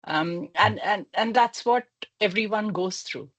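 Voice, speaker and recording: A woman speaker at a workshop giving encouraging, measured advice with some fillers. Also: mid-range voice, a bit bright.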